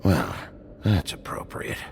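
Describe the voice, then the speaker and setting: A man playing a gruff, brooding, protective sheriff with a gravelly voice in a noir video game. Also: slow, slight background noise, natural voice.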